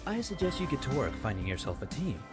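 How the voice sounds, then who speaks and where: A man's voice: medium pitch, sing-song, quick pace, over music, voice pushed down, lightly acted. A man voicing a composed, courteous male professor who lectures a class formally in a visual novel game.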